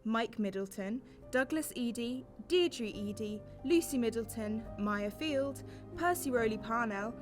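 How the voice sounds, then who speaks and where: A woman's voice: fairly high pitch, expressive, a little slow, over music. A woman playing a formal, grave announcer narrating a radio drama.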